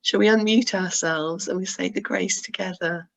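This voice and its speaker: A woman hosting an online church meeting on a video call, appreciative in tone and croaky from losing her voice. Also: medium pitch, steady tone, normal speed, a bit bright. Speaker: meeting host.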